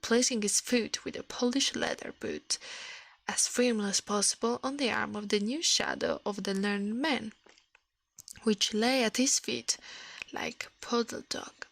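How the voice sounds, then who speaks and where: A woman reading a story aloud in ASMR style, in a soft breathy whisper, halting now and then over the words. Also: a little high. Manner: halting reading with stumbles and self-corrections.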